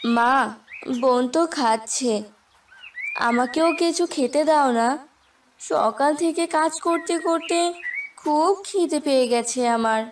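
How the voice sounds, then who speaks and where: High-voiced, meek village girl. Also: slow pace, long pauses, far from the mic, background noise. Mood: meek, pleading. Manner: meek, pleading. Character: gentle, downtrodden sweet village girl, meek stepdaughter. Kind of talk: cartoon fairy tale voice acting.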